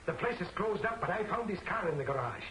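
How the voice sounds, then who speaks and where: An actor playing an excitable, dogged newspaper sleuth with brash, slangy, folksy talk in an old crime film serial. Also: male voice, high voice, fast, slight background noise, heavily acted. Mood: eager, insistent. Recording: roomy vintage film soundtrack with music.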